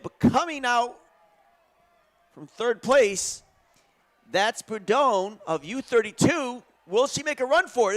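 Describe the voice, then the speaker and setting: Live track commentator: man with a higher-set voice, conversational delivery that builds with the race.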